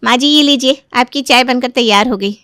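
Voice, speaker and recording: A woman's voice: a little high, normal speed, bright, forceful tone, clean tone, no echo, clean studio sound. A woman playing a defiant, crafty young daughter-in-law who argues and complains in a dramatized moral story. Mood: feigned innocence. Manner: argumentative, protesting.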